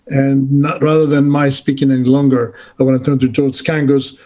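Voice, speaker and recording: A man moderating a panel on a video call, speaking in a polite, measured way with frequent fillers. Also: medium pitch, level tone, warm tone. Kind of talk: formal introductory remarks.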